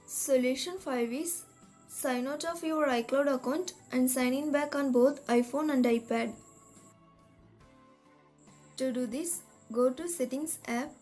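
A woman reading a scripted step-by-step tech tutorial in a measured, instructional manner. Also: sits high, darkish tone. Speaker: tutorial narrator. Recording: voice-over with music behind. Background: background music.

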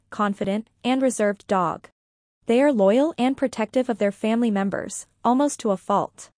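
A woman reading a scripted educational podcast narration in a measured, formal manner. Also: on the high side, darkish tone, no echo, studio quality.

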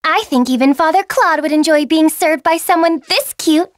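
A woman's voice: sits high, normal speed, no echo, clean studio sound, lightly acted. A woman voicing a cute, perky young maid girl hosting a tea party in an anime-style game. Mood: perky, eager. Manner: perky, girlish, eager. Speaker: voice actor.

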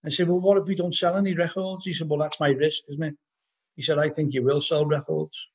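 Husky Liverpool man telling stories. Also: a little high, little pitch movement, fairly quick. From Liverpool, England. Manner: rambling anecdotes with many "you know"s. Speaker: podcast guest, band vocalist. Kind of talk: casual storytelling interview. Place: living room at home. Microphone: laptop webcam on a video call.

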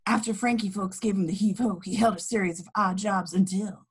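Casting: knowing, gossipy informant read by a woman, raspy mid-range voice, amateur online script reading. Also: medium pitch, steady tone, brisk. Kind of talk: amateur table read over video call. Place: home, remote video call.

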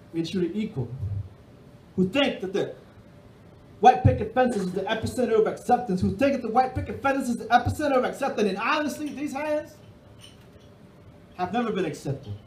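High-voiced Peruvian-born spoken-word poet. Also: male voice. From Peru. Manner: rhythmic, emphatic delivery with sweeping hand gestures. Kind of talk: spoken-word poetry performance. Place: dark stage of a bar and music hall, indoors. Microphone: stand microphone on stage. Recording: roomy hall sound.

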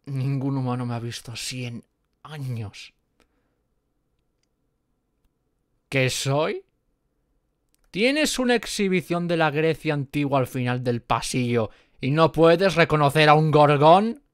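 A nervous, gentle gorgon played by a man in a dramatic audio roleplay. His pitch swings widely, yet he talks at a slow, hesitant pace. The recording is dry and close, with flustered delivery. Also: animated, clear, resonant tone, no echo. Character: nervous, gentle male gorgon working as gallery artist. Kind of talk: dramatic ASMR roleplay monologue.

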